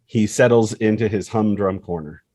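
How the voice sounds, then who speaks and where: A man's voice: lowish voice, normal speed, a little mellow, no echo. A man reading stage directions as the narrator of a screenplay table read.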